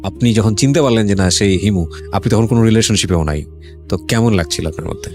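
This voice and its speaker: A Bangladeshi male show host speaks in a lowish voice at a brisk pace. He interviews conversationally, probing with follow-ups and dropping in short acknowledgements. Music plays clearly behind him. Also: a little mellow. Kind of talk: conversational interview about life stories. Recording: music bed under the talk.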